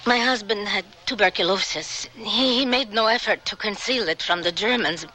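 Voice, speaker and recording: An actress playing a grieving older woman who survived the camps, speaking in a measured, halting, solemn way in a radio drama. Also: mid-range voice, bright, forceful tone, low-quality recording. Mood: haunted, grieving.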